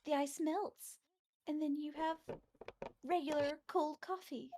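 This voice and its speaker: Woman playing a high-voiced bratty young witness. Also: fairly even intonation, noisy background. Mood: smug. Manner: theatrical, taunting, drawn-out indignation. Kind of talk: video game fandub acting.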